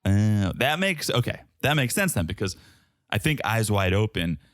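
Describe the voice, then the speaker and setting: A man's voice: lowish voice, big pitch swings, moderate pace, dry recording. A man with a Southern American accent co-hosting a reality TV podcast in casual chat, with dry asides. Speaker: podcast co-host.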